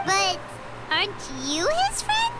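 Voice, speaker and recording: A woman voicing a tiny childlike fairy companion in a video game, squeaky, cutesy, excitable and nosy. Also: slow pace, heavy background noise, heavily acted. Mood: surprised. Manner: cutesy, exclamatory, refers to self by name. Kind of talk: English video game voice acting.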